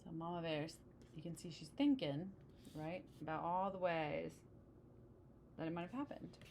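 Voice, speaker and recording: A woman with a fairly low voice reads a children's storybook aloud. She slips into conversational asides to explain the pictures to young listeners. A faint noise sits behind her voice. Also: moderate pace, vocal fry. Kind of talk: picture-book read-aloud with casual asides.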